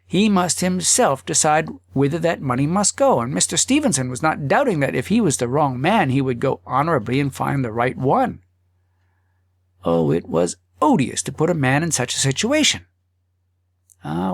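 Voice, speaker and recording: A man's voice: fairly high pitch, sing-song, moderate pace, creaky, breathy edge, no room sound, studio quality. A man reading a humorous story aloud as an audiobook narrator, theatrical in the way he dramatizes it. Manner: theatrical, dramatizing thoughts and dialogue.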